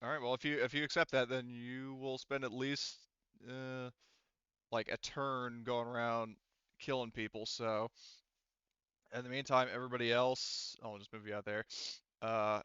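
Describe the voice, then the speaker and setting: A man acting as game master, casually running a tabletop roleplaying game in a halting, informal way, thinking aloud. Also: mid-range voice, level tone, bright, forceful tone, smooth, dry recording, lo-fi sound.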